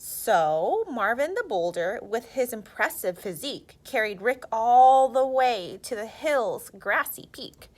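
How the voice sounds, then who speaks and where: A woman narrating a children's storybook in an expressive, theatrical and emphatic way. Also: on the high side, takes it slowly, few pauses.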